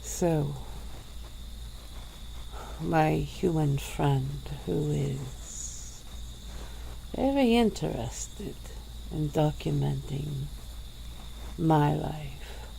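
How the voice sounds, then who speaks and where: A woman voicing an ancient, weary female vampire in a soft-spoken ASMR roleplay, with low chuckles. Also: very slow, creaky, long pauses, slight background noise, full character voice, rough recording.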